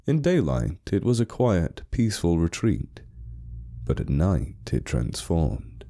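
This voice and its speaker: A man narrating a scripted spooky story in a measured, suspenseful storytelling manner. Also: a bass voice, dark tone.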